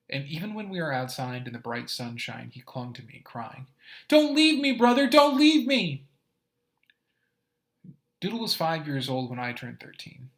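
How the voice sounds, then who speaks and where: Man reading a story aloud with feeling. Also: medium pitch. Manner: expressive, rising and falling in loudness. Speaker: teacher.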